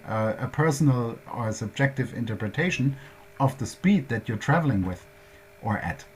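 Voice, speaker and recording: A man's voice: mid-range voice, a little hiss or hum. German-accented man giving a workshop talk. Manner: methodical, explanatory, walks through concepts step by step. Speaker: workshop presenter. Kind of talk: workshop presentation.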